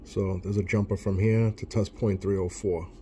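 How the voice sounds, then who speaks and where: Man, deep-leaning pitch, soft mellow tone, hesitant casual demonstrator, frequent pauses. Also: little pitch movement, a little hiss or hum. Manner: halting, hesitant, pausing often while working. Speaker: radio repair hobbyist presenting a bench video. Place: indoors at a workbench.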